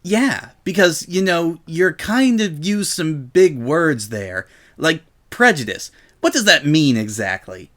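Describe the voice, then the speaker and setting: Guy doing a cheeky young pony girl, higher pitch, casual n faux-naive, cartoony story. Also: sing-song, slow, hardly any pauses, fairly bright tone, exaggerated voice. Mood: feigned innocence. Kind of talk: dramatic reading of a fan story.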